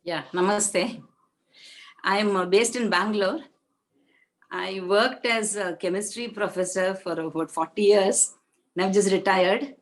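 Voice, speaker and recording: A woman in her sixties from India, a student introducing herself on a video call in a measured, polite way. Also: mid-range voice, steady tone, poor audio.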